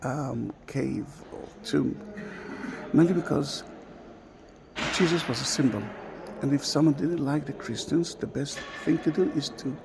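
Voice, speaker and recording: A man whose first language is Hebrew, guiding a slow, halting walking tour inside a large stone church, recorded on a camera mic. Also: a little high, vocal fry, background noise. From Israel. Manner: slow, halting, with many fillers. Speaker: tour guide.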